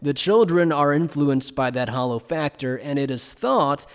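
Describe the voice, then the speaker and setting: A man playing an evasive, playful scholar who explains things in a polite, measured, teasing way in an anime fan dub. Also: a little high, animated, clear, resonant tone. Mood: polite, explanatory. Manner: polite, measured, teasing lecturer with coy understatement.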